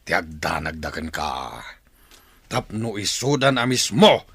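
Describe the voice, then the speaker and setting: An actor playing a menacing village gang leader in an Ilocano radio drama, taunting his captive with a gravelly, raspy voice and an Ilocano accent. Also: low voice, very animated, fairly bright tone, no echo, exaggerated voice. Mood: gloating menace. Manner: taunting, slow and threatening.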